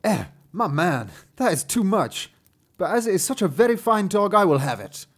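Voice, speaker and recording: Male narrator as haughty carriage gentleman, storybook: emphatic, raised pitch, lively swoops. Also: normal speed, heavily acted. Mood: haggling. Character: wealthy fairy-tale gentleman calling from carriage, haggling.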